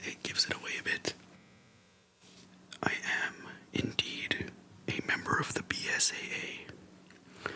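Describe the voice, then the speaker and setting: An ASMR creator speaking in a soft, breathy whisper close to the microphone, chatting casually and slowly in short, gentle phrases with pauses. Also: rough recording.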